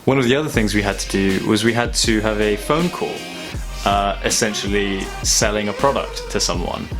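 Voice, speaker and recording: Young man, low voice, reflective vlog chat, halting thoughtful delivery, clear background guitar music. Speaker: vlogger. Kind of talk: casual reflective vlog monologue.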